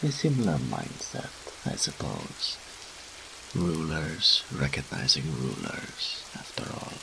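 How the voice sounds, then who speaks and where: A man's voice: very deep voice, wildly swooping pitch, slow, vocal fry, background noise. A man voicing a smug, seductive demon incubus in a roleplay audio drama, with a teasing, haughty, theatrical drawl. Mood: teasing, dramatic.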